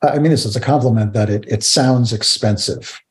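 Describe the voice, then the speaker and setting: A man's voice: mid-range voice, a little fast. A middle-aged man hosting an interview conversationally, with articulate, slightly formal wording. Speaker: interview host. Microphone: video call.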